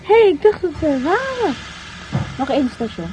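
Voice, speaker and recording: A chatty woman traveller speaks in a natural radio-play style. Her voice is high and lively but soft-edged, with faint noise and vehicle ambience around her. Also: moderate pace. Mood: casual. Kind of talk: naturalistic radio drama dialogue. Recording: noisy recording with vehicle ambience.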